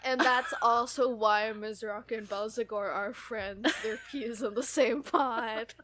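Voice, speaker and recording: A woman's voice: fairly high pitch, steady tone, slow pace, fairly bright tone, slight background noise. A woman chatting casually and enthusiastically as a podcast co-host. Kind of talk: casual chat about an audio drama.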